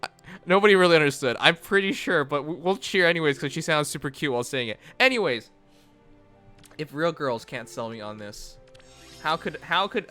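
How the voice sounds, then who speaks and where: Young man, streamer, bright tone, slightly high pitch, faint music behind, animated rambling chat. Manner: animated, rambling, quick to laugh.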